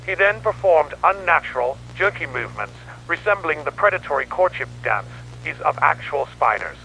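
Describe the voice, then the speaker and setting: A man's voice: a little high, big pitch swings, normal speed, gravelly, bright, forceful tone, exaggerated voice. A man playing a grim newspaperman who records a horror investigation log in a dramatic, grave storytelling manner. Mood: grim, ominous. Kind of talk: scripted analog horror narration. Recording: noisy radio-like tape with hum.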